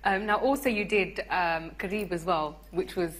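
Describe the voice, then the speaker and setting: A woman's voice: on the low side, normal speed. A woman hosting a live on-stage interview, putting questions to her guests. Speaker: radio presenter, event host. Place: radio theatre stage.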